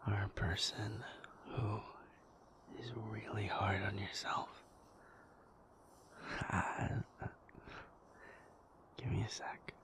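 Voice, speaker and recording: A man playing a gentle, devoted werewolf boyfriend in an intimate ASMR roleplay, speaking in a breathy whisper with tender, halting reassurance. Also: level tone. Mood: thoughtful, soothing. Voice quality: breathy whisper with gravelly low murmur.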